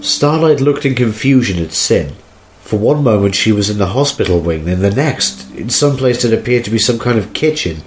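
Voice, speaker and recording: Narrator for a fan audio drama: mid-pitched, scripted storytelling over prominent music. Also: expressive, moderate pace.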